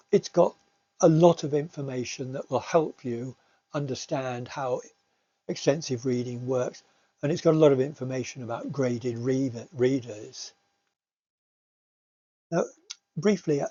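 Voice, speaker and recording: A man giving an informal slide talk as a language teacher, explaining things hesitantly with frequent pauses. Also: medium pitch, dark tone. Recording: muffled, roomy home recording.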